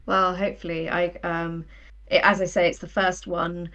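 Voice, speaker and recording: A woman's voice: lowish voice, flat delivery, normal speed, fairly bright tone, muffled recording. A woman, talking as an interview guest in a conversational, enthusiastic, explanatory way. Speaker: guest, audio drama writer and producer.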